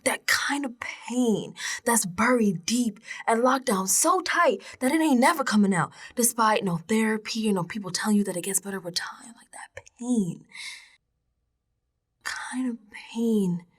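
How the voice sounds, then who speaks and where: A woman's voice: medium pitch, a bit bright, slightly rough. A woman playing a streetwise, unsettled young American woman in an audio drama monologue, confiding in colloquial, emphatic speech. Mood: haunted, grieving. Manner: colloquial, sassy, emphatic, dropping into whispers.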